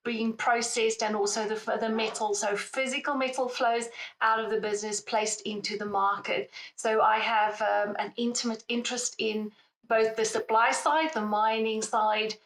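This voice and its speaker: South African woman, expert guest, higher voice, echoey room, explanatory with ums. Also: fairly bright tone. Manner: explanatory, frequent ums and self-corrections. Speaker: precious metals analyst guest. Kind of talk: expert interview. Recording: roomy echo.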